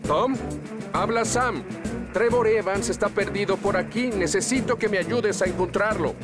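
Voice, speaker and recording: A man's voice: high voice, moderate pace, over loud music, lightly acted. A man playing a brave, reassuring firefighter in a cartoon dub, speaking assuredly with a Latin American Spanish accent. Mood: calm, decisive. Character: brave, reassuring adult male firefighter hero.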